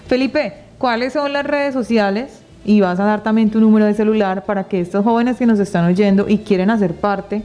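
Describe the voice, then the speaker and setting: A Colombian woman hosts a radio program, speaking conversationally to guests and listeners. Her voice is mid-pitched, with a very mellow, dark-edged tone. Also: moderate pace, few pauses. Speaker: radio host.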